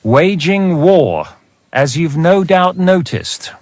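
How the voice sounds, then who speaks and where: Medieval mercenary captain, fairly high voice, dry close sound, wry, world-weary, game dialogue. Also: male voice, lively intonation, slow pace, dry recording. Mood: measured, grim. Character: wry, battle-hardened male mercenary captain.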